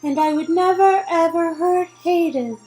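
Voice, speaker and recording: A woman acts out a nerdy, earnest young woman from a romance game, in a very high voice. She recites slowly and formally, awkward and halting, over faint music. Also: a little mellow, poor audio. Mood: awkward confession.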